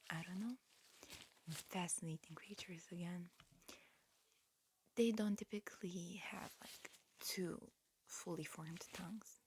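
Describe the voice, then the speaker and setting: A woman whispering a gentle, breathy ASMR roleplay as a medical examiner, murmuring unhurriedly with pauses. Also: lowish voice, slight background noise, poor audio.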